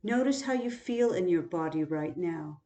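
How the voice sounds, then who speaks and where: A woman guiding a body scan meditation in a calm, unhurried, instructional way, leaving long pauses. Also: on the low side. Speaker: meditation teacher. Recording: roomy, echoing sound.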